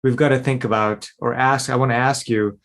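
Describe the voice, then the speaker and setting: A male podcast host with an ordinary middle-pitched voice. He leads the interview conversationally. He frames questions plainly and keeps the guest going with short yeahs and mm-hmms. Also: fairly even intonation, a little fast, fairly bright tone. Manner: conversational, encouraging backchannels.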